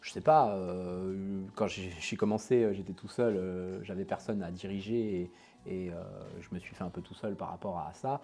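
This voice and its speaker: A man's voice: low-pitched, fairly even intonation, moderate pace, mellow, faint music behind. A man from Paris, France, an entrepreneur telling his life story in a casual interview, modest and hesitant with many fillers. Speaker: entrepreneur, company boss.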